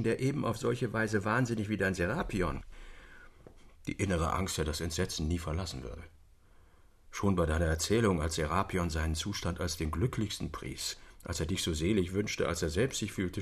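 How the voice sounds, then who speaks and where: A skeptical educated gentleman in a radio drama. His low, gravelly voice has a husky grain as he argues in formal, literary phrases. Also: normal speed. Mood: uneasy, insistent. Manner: formal, argumentative. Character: skeptical educated gentleman uneasy about madmen.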